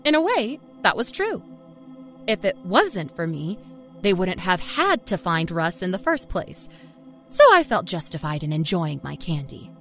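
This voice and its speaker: A woman playing a self-satisfied small-town liar from Kentucky, telling her dark story in a folksy, confiding way. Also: on the low side, sing-song, a little slow, heavily acted. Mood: smug, confiding. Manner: folksy, chatty, smugly confiding. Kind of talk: first-person dark storytelling. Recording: music under the narration.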